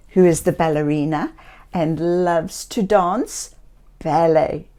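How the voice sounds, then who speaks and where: A woman reading a children's story aloud in a gentle, theatrical way, speaking directly to young listeners. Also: lowish voice. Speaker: children's story reader and host.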